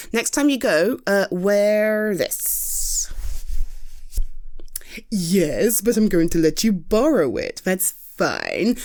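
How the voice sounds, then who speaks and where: A British woman speaking in a loose, rambling roleplay to a friend. Her pitch leaps up and down as she jokes at her own expense, swears casually and keeps circling back to reassure. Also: darkish tone. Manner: chatty, rambling, self-deprecating, reassuring; swears casually, laughs often. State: tired. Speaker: audio roleplay performer. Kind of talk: scripted roleplay monologue to a friend.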